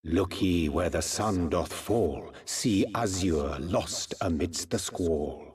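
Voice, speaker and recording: An actor playing a solemn figure reciting old prophetic verse in a game, grave, measured and archaic, heard from a distance. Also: male voice, sits low, a little mellow.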